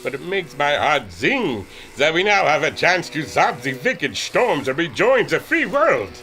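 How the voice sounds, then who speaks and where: An actor playing an earnest, excitable inventor and airship captain in a retro adventure radio drama, with formal, theatrical diction. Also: male voice, a little high, measured pace, faint music behind, full character voice.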